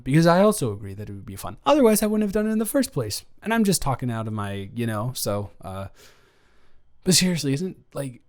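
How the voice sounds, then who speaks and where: A young adult American man acting out an awkward, talkative best friend in an audio roleplay. His mid-pitched voice runs on in casual, halting rambles, often restarting his own sentences. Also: natural voice. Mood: warm, bantering. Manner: rambling, halting, self-interrupting. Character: chatty, awkward young male best friend on a road trip. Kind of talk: improvised boyfriend-style audio roleplay, casual one-sided chat.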